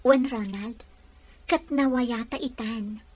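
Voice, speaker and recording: Woman as an earnest young daughter, high voice. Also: takes it slowly, a little mellow. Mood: earnest, tender. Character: earnest young daughter in love, soft-hearted and pleading. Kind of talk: melodramatic radio drama acting in Ilocano.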